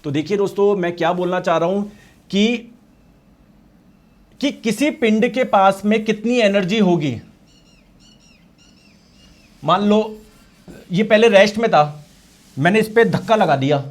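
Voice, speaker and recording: A male teacher with a high voice and a hoarse throat, giving a lively science lecture. He poses questions and answers them himself, and he gives every key term twice, once in Hindi and once in English. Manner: asks and answers his own questions; addresses students as friends. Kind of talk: online exam-prep class.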